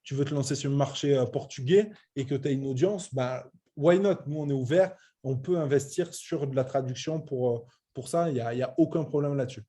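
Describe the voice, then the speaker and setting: Male, late 30s, soft dark tone, medium pitch, informal presenter who stresses points and restarts often. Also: brisk, hardly any pauses. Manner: hesitant, repetitive, emphatic. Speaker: web entrepreneur presenting a training programme. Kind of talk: informal online business presentation.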